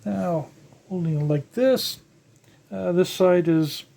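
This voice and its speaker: Older hobbyist presenter, slow pace, halting, casual hands-on narration, thinking out loud. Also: mid-range voice, gravelly. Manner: halting, musing aloud while working with hands. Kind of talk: casual unscripted teardown narration. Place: indoors at a workbench.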